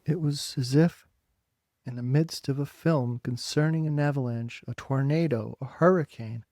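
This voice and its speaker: A man narrating a literary story dramatically, in deliberate, separate phrases. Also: mid-range voice, warm tone, no echo. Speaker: audiobook narrator.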